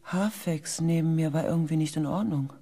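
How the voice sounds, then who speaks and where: An actor playing an anxious researcher in a science fiction radio drama, speaking in an uneasy, hesitant way. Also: male voice, a little high, normal speed, dark tone. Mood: tense. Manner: hesitant, uneasy, searching for words. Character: anxious male researcher on a space expedition.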